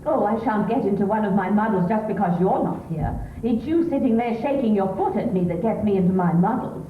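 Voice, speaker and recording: A woman's voice: medium pitch, brisk, lightly acted. A woman acting a chattering, anxious middle-class English wife in a stage comedy, gushing and needling her husband. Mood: flustered. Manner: gushing, exclamatory, posh. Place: theatre stage. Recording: echoey stage recording, tinny, hissy.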